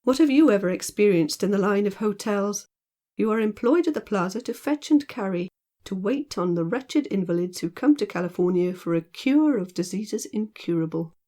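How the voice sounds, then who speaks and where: A mellow-voiced storybook gentleman, acted out by a woman reader, lazy and teasing. He speaks in playful rhetorical flourishes, mock-solemn and theatrical. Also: mid-range voice, animated, normal speed, natural voice. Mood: amused, teasing. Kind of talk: audiobook character dialogue.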